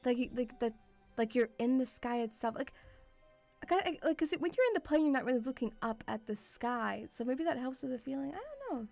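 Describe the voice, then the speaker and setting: A woman with a mixed European accent touched by Scottish, chatting casually as a livestream host in an excitable, rambling, sweary way. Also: sits high, a little fast, vocal fry, soft background music. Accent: mixed European with Scottish influence. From Lithuanian-Russian background, partly grew up in Scotland.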